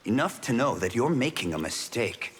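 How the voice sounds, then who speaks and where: Righteous, reasoning hero for a fighting game, raspy husk, expressive intonation. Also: male voice, medium pitch, moderate pace. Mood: earnest, reproachful. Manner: earnest, reasoning. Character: earnest, righteous male hero pleading for peace. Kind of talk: video game fight banter.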